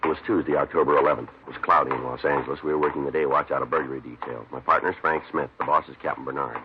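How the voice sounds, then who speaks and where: An actor playing a terse police sergeant in a radio drama, dry and patient, speaking in a deadpan, clipped way. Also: male voice, rumbling low, racing. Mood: matter-of-fact. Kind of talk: 1950s radio drama acting and narration. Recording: dull old radio recording, noisy, clicks.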